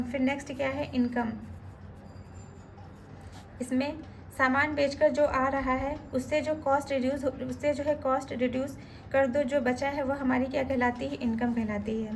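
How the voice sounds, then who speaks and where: A woman teaching an exam-prep lesson from notes while hoarse from a cold, often asking a rhetorical question and then answering it. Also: high voice, a little hiss or hum. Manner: asks herself rhetorical questions before each answer.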